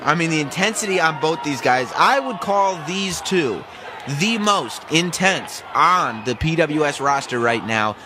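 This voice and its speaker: A man calling a wrestling match as a color commentator, excitable and often shouting for emphasis. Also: on the high side, unhurried, crowd noise, noisy background. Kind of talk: live play-by-play commentary.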